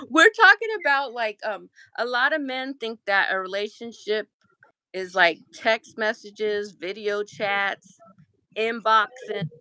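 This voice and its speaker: Woman hosting a live stream, bright high voice, chatty, close dry mic. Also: animated, slow pace, clear, resonant tone, no echo, rough recording. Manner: chatty, laughs easily, leans on "like". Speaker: live show host. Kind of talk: casual live-stream chat.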